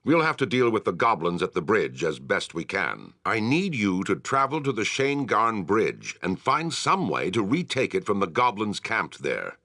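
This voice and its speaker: An actor playing a grave, commanding town war leader in a fantasy video game, speaking in a measured, formal, authoritative way. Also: male voice, on the low side, sing-song, bright, forceful tone, no echo, clean studio sound, heavily acted. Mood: musing, explanatory. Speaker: quest-giving military commander. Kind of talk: video game character acting, formal dialogue.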